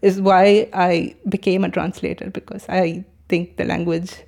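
A woman's voice: fairly low pitch, level tone, unhurried, creaky, a little mellow. A young adult woman from India, Tamil her first language, speaks as a translator being interviewed. She answers in a thoughtful, searching way. Her sentences are full of fillers and restarts.

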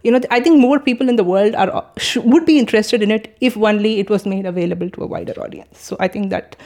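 A woman's voice: mid-range voice, fast talker, a little mellow. Thoughtful woman translator from India. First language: Tamil. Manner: thoughtful, with frequent fillers and restarts. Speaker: translator, interview guest. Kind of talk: conversational interview answers.

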